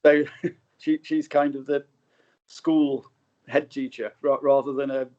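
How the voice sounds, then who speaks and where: A man's voice: medium pitch, level tone, takes it slowly, a little mellow. A man speaking as a guest film director in a casual interview, hesitant and often correcting himself, on slightly dull remote audio.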